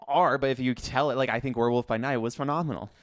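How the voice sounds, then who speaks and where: Male podcast co-host, smooth clear voice, informal and agreeable, casual debating style. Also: mid-range voice, fairly even intonation, fast talker, no echo, clean studio sound.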